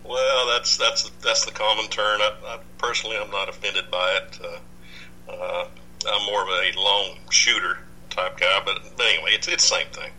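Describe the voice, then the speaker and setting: Guest: older man, low voice, piercing bright tone, rambling casual delivery. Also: fairly even intonation, normal speed, slight background noise, rough recording. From Dallas, Texas. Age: 60s. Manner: rambling, many fillers and restarts. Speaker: podcast guest, researcher. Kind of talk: casual interview.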